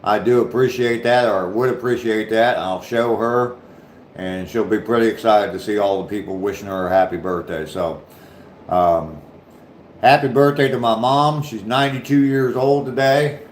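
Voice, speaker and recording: A deep-voiced man with a light southern Ohio accent talks to his livestream viewers in a loose, easygoing way. He speaks slowly and lets his sentences trail off softly. The echo of the room and a faint hum sit behind him. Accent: southern Ohio, slight Appalachian touch. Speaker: livestream host. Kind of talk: casual livestream chat reading comments.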